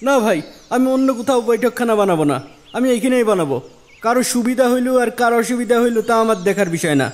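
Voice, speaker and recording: Man voicing a high-pitched stubborn village landowner. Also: lively intonation, fast. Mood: indignant. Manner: argumentative, dismissive. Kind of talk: Islamic moral cartoon dialogue.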